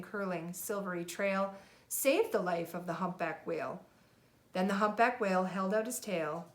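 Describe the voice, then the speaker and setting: A woman narrates a children's storybook in the manner of a mother reading at home. Her pitch is middling, and the delivery is expressive storytelling. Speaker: mother reading picture books aloud.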